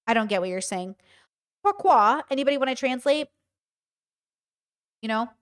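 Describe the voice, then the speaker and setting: A woman in her thirties with a fairly high voice, holding forth to a live chat. She speaks bluntly and swears freely, and she keeps throwing questions back at her audience. Her commentary is unscripted and conversational. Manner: candid, profane, talks to her audience with rhetorical questions. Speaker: streamer. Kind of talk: livestream commentary, reading chat.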